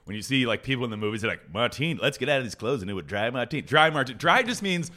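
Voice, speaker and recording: Wry podcast guy, bright tone, rambling casual cocktail chat. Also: mid-range voice, animated, rapid-fire. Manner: playful, wry, talks at length with asides. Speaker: podcast co-host.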